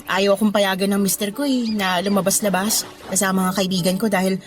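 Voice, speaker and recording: A woman's voice: medium pitch, fairly even intonation, a little fast, natural voice. An actress playing a discontented, flirty mother of thirty who confides in a Filipino accent, in a conversational radio drama style. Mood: concerned, chatty. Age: 30s. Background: background music.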